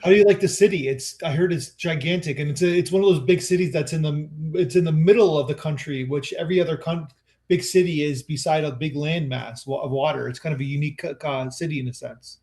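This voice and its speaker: A man's voice: on the high side, fast, darkish tone. A man hosting a festival interview over a video call, asking long, rambling questions that he corrects as he goes. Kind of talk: casual interview chat. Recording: echoey room.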